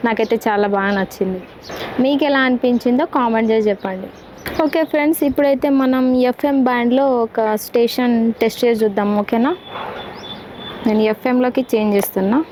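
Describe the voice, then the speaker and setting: A woman's voice: a little high, fairly quick, darkish tone, poor audio. A woman giving a casual product demonstration, chatty and addressing viewers directly with frequent check-in questions. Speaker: gadget reviewer. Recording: clear background noise.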